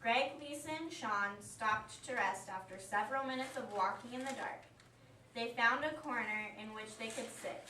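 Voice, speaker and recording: Bright-voiced woman narrator in echoey room. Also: on the high side, normal speed. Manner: reading aloud. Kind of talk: scripted story narration for a puppet show. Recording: echoey room, distant mic, faint noise.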